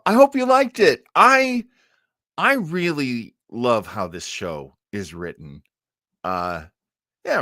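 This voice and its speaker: A man's voice: medium pitch, a bit bright, dry recording. A man in his fifties chats casually as a livestream host, enthusiastic and a bit rambling. His mid-range voice swings animatedly up and down, recorded close and dry. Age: 50s.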